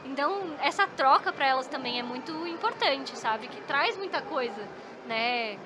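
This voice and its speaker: A woman's voice: high-pitched, lively intonation, moderate pace, noisy background. A Brazilian woman, an esports psychologist, chatting as a podcast guest and often tagging her sentences with little questions. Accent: Brazilian Portuguese. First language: Portuguese. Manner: chatty, frequent "né?" tag questions. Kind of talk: casual podcast conversation.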